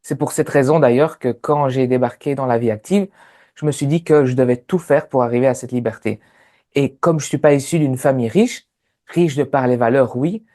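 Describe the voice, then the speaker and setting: Interview guest: Sicilian-accented man in his thirties, mellow tone, relaxed storytelling with lots of pauses. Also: medium pitch. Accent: Sicilian Italian. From Sicily, Italy. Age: 30s. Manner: many hesitant fillers.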